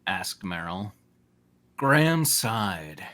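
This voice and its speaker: A man's voice: on the low side, very animated, extremely slow, a bit bright. A man reading a story aloud as narrator in a joking group reading, with an amused manner. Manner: amused, often breaking into laughter. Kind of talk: scripted narration with joking asides.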